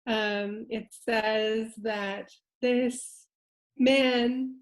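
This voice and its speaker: Slow, reflective higher-voiced woman. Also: fairly even intonation. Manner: slow, reflective, hesitant with many fillers. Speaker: translation prize committee member. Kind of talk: roundtable discussion, reading poetry aloud.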